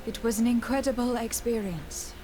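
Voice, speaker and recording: An actress playing a composed young noblewoman diplomat in a video game, speaking in a measured, formal way. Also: fairly high pitch, dark tone, slight background noise, lightly acted. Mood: earnest.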